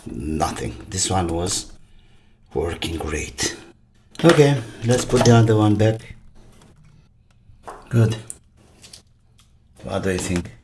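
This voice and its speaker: A man with a very low voice talks himself through an electronics repair. He speaks slowly, in short muttered fragments with pauses between them. The recording has noticeable noise and small clicks behind him. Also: animated. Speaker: electronics repair video maker. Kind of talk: thinking aloud while repairing electronics.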